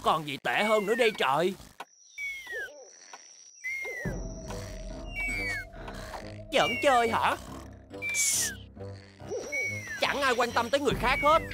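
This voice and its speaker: A woman voicing a grumbling, excitable, sleepless young bear in a children's cartoon dub, with a whiny, dramatic delivery. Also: fairly high pitch, quick pace. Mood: exasperated. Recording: background cartoon music.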